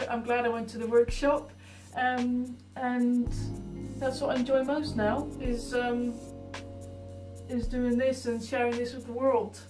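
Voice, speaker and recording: A Dutch-accented woman in her early thirties speaks in a fairly high voice, set back from the microphone in a roomy space. She talks informally about herself, pausing often to find the next thought. Music plays clearly behind her. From Netherlands. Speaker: artist being profiled. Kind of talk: informal talking about own life and art. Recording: roomy echo, distant mic, music behind.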